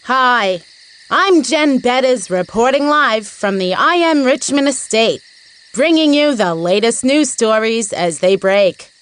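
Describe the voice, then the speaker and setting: A woman voicing a brisk live news reporter in a cartoon, with a crisp announcer-like delivery. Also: fairly high pitch, lively intonation, dry recording, low-quality recording. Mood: professional, urgent.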